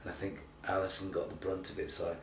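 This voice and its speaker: A tired man with a cold and a slightly croaky voice, chatting hesitantly and self-deprecatingly in an unscripted video blog. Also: low voice, level tone, quick pace. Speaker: theatre artistic director giving a monthly video update. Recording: echoey, distant mic, faint noise.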